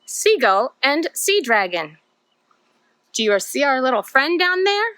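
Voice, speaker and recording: Slow, lilting woman hosting storytime. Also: sits high, big pitch swings, bright, forceful tone, clear, resonant tone, no echo. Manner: simple questions addressed to young viewers. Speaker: children's librarian storytime host. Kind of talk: read-aloud storytime for young children.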